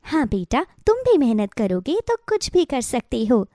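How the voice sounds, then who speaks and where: Woman as a high, lively mother bird. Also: a little slow, dry recording, clean studio sound. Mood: tender, encouraging. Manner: encouraging, earnest. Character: kindly mother bird, encouraging leader of forest friends. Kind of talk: children's cartoon voice acting.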